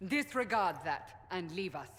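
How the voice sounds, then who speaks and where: A woman's voice: on the low side, expressive, measured pace, fairly bright tone. An actress playing a resolute, devout female warrior in a fantasy video game, commanding and grave. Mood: stern. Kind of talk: dramatic video game acting. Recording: long hall reverb, music behind.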